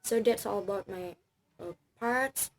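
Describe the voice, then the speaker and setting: A woman's voice: mid-range voice, measured pace, creaky, slight background noise. A young woman from Bali, Balinese native speaker with an Indonesian accent, giving a class presentation in careful, hesitant speech with many fillers and self-corrections. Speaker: student presenter.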